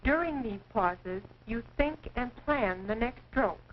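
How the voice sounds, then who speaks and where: A dull 1940s film soundtrack with faint noise carries a high-pitched American woman's voice. She narrates as a typing instructor, reading her script with precise, measured enunciation in the formal style of a wartime instructional film. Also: lively intonation.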